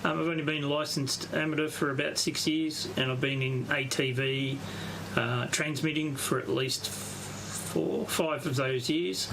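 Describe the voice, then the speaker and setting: A man, an amateur radio operator giving a casual, halting, unscripted walkthrough on a live stream. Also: fairly high pitch, fairly even intonation, creaky, background noise. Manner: halting, unscripted, thinking aloud. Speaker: amateur radio operator presenting his station.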